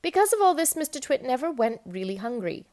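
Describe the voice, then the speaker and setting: A woman reading a children's book aloud in an expressive, emphatic storytelling manner. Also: sits high, a little fast. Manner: animated, emphatic storytelling with dramatic stresses. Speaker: storybook reader.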